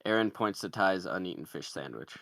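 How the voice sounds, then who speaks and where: A man's voice: low voice, lively intonation, brisk, creaky, fairly bright tone, dry recording, studio quality. A man narrating the stage directions of a comedy screenplay in a scripted read.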